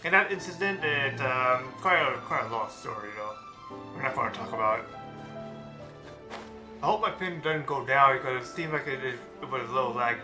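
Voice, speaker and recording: A man giving casual live commentary on a game as he plays, halting and often repeating his words. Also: mid-range voice. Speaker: game streamer. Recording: game music behind.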